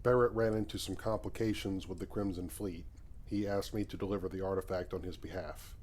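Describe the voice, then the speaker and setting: A man playing an even-tempered miner turned explorer in a visual novel, understated and plain-spoken. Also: deep voice, brisk, slight background noise, natural voice. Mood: matter-of-fact. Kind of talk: scripted visual novel dialogue.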